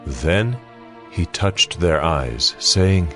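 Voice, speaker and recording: A man's voice: low voice, animated, extremely slow, a bit bright. A man narrating a dramatized audio Bible in a solemn storytelling manner. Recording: music behind the voice. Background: background music.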